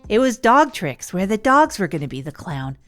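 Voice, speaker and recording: A woman's voice: medium pitch, very animated, normal speed, a bit bright. Woman playing an awkward, rambling radio host over music. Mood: chatty, sincere. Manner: hesitant, rambling, informal. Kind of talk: improvised comic monologue, chatty radio storytelling.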